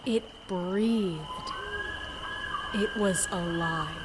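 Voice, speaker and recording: A woman's voice: fairly low pitch, extremely slow, noisy background. A woman playing a theatrical storyteller, narrating an audio drama in an ominous, suspenseful way.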